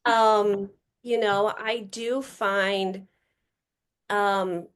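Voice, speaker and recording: A woman's voice: medium pitch, steady tone, drawn-out words, smooth. A woman in her forties, a podcast guest chatting casually, upbeat and talkative, often trailing off and restarting her thoughts. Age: 40s. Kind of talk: casual chat.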